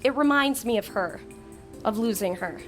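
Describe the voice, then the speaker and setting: A woman's voice: a little high, animated, normal speed, faint music behind. An actress playing an imperious, sardonic young woman in a digital afterlife in an audio drama. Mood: wistful.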